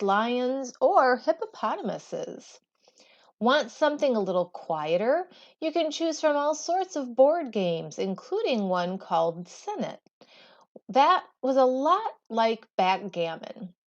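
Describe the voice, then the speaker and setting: A woman teacher reading a textbook aloud for schoolchildren in an expressive, deliberate way, with storybook-like phrasing and pauses. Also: medium pitch, dull audio.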